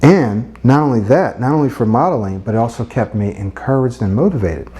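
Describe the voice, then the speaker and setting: A middle-aged man giving a motivational speech-coaching lesson, earnest and repetitive, with a husky voice. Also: mid-range voice, fairly quick, mellow.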